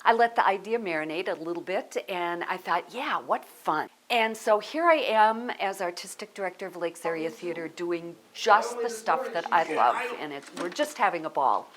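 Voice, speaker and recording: An American woman, a theatre director, talking casually in an interview. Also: mid-range voice. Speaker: artistic director of radio theatre.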